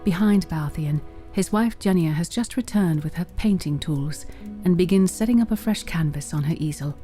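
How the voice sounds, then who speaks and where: A woman's voice: on the low side, normal speed, mellow. A woman narrating a fantasy story in a scripted, dramatic storytelling style. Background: faint music.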